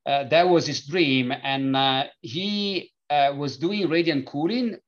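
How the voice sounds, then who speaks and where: A middle-aged man from Italy with an Italian accent, a company founder speaking as an interview guest, pausing often with filler sounds and restarts. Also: on the high side, dull audio. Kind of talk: conversational interview.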